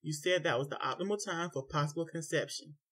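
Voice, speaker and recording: A frustrated husband, stern and hurt, speaking low and close. A man narrating the story gives him his own voice, kept tight and restrained. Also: moderate pace, fairly bright tone, breathy edge. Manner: low and tight, dropping to near whisper.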